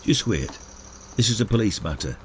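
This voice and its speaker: Man playing lively older British retired detective. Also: on the low side, normal speed, slight background noise. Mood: brisk, matter-of-fact. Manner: colloquial, chummy, mildly grumbling. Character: ageing retired village detective, friendly, stubborn, gruffly jovial. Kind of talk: audio drama dialogue.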